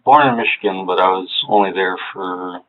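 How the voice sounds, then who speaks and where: Man in his forties from Hawaii, very low voice, halting answers, phone-thin audio. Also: slight background noise. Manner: hesitant, halting, many fillers. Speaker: interrogation subject. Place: police interview room. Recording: tinny low-fidelity room recording.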